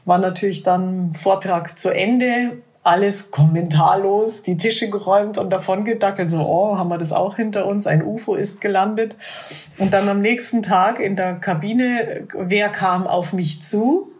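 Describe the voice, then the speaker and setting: A woman, speaking at a middle pitch. She tells stories lively and chatty, pausing on fillers as she thinks. She speaks as a physiotherapist in a relaxed podcast conversation. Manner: lively anecdotal storyteller with hesitant fillers. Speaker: physiotherapist of a national bobsleigh team. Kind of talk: casual podcast interview.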